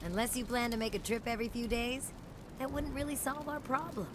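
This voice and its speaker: A woman's voice: a little high, steady tone, a little fast, background noise, natural voice. A woman playing a curt, guarded colonist in a science fiction game, serious and clipped. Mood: curt, stressed.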